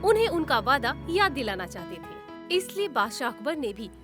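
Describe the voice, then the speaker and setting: A woman narrating an animated story in a measured, expressive storytelling manner. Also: sits high. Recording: loud background music.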